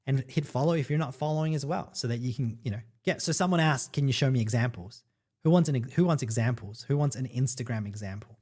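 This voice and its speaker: An upbeat middle-aged man from El Salvador talks straight to his livestream viewers as a marketing coach. His voice sits at an ordinary middle pitch, and he keeps the chat loose with "right?" and "guys". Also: fast, a little mellow, breathy edge. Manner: upbeat and chatty, peppering lines with "right?" and "guys". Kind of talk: casual livestream talk to viewers.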